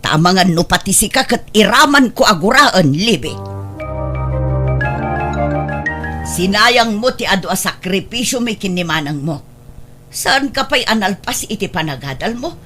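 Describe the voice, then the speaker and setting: A woman's voice: mid-range voice, expressive, moderate pace, over music, close to an everyday voice. A woman playing a stern, reproachful mother who scolds her daughters in a radio drama. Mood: scolding, exasperated.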